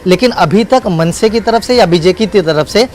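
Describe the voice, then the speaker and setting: A man's voice: high voice, expressive, normal speed, darkish tone. A man reporting live as a news correspondent, speaking unscripted in emphatic, repetitive phrases with hesitant fillers.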